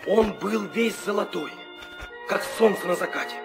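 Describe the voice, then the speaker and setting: High, creaky-voiced young hunter. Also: male voice, normal speed, music in the background. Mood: wondering. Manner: wondering, vivid storytelling. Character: young hunter prince, earnest, alert. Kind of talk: 1960 theatrical audio drama acting.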